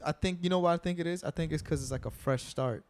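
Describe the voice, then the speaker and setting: A man's voice: fairly high pitch, fast talker, a little hiss or hum. A young man in casual group talk, explaining at length with many fillers and restarts. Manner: animated, lots of filler words and restarts.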